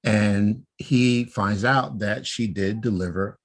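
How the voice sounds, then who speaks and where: A man with a low voice talks casually on a podcast. His tone is a little husky, and he lays out fan theories step by step. He often adds a quick "right?" to bring his co-host along.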